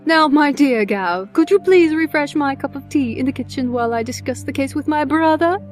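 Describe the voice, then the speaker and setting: A woman voices a refined, powerful elder-sister pony in an audio drama. Her voice is on the high side, composed, formal and smug, with faint music behind it. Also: animated, fairly quick. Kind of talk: scripted audio drama acting.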